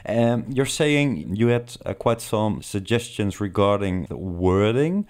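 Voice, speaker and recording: A man with a clear, smooth voice works as an interviewer. He puts his questions conversationally and a little tentatively, carefully rewording them as he goes. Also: fairly low pitch, expressive, slow pace, darkish tone.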